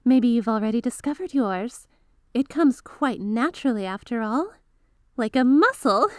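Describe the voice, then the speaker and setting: Woman acting a high-voiced, eager magical girl mentor. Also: expressive, moderate pace, a little mellow, dry recording, clean studio sound, natural voice. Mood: earnest, instructive. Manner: chatty, eager, teacherly, addresses listener directly. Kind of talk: ASMR roleplay, scripted character acting.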